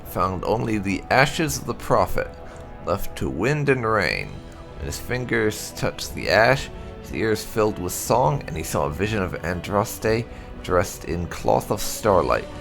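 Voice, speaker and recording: A man narrating game lore as a gaming host, in a measured storyteller's manner with emphatic phrasing. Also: deep voice, creaky, a bit bright. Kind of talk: reading game lore aloud as scripted narration.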